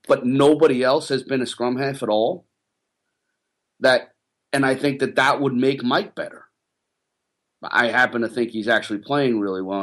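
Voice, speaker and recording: A man who once coached rugby, ranting about player development on a casual podcast. He gets fired up and his voice climbs higher as he argues. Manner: passionate, ranting, rising pitch when worked up.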